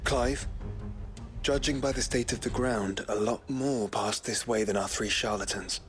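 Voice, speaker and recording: An actor playing an observant, loyal young scout in a video game, earnest and articulate. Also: male voice, mid-range voice, fairly even intonation, measured pace, faint music behind, natural voice. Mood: alert. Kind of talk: video game cutscene acting.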